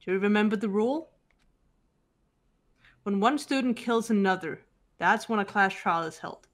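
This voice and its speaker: A woman's voice: mid-range voice, lightly acted. Woman playing a composed, resolute teenage girl detective. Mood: cool, probing. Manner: measured, challenging. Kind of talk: character voice acting in a game fan dub.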